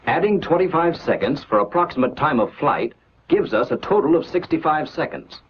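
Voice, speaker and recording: A man narrating a scripted 1940s military training film in a measured, instructional, declarative manner on an old film soundtrack. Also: on the high side, sing-song, muffled recording, slight background noise.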